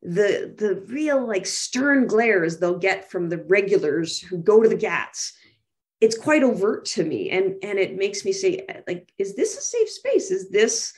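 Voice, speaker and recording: A woman's voice: mid-range voice, lively intonation, measured pace, a little mellow. A middle-aged Canadian woman from Toronto, sharing personal reflections on a video call from a room at home. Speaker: guest speaker. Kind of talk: reflective personal talk in an online panel. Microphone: video call microphone.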